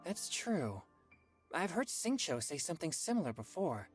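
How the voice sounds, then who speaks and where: A man's voice: fairly high pitch, normal speed, faint music behind. A man playing an earnest, polite teenage boy exorcist in an anime-style game. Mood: earnest, thoughtful. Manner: polite, expressive.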